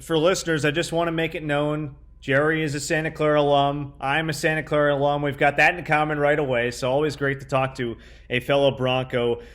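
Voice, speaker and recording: A man's voice: fairly high pitch, little pitch movement, fairly quick, a bit bright. A young man hosting a conversational sports interview. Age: young adult. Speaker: interview host, sports broadcaster.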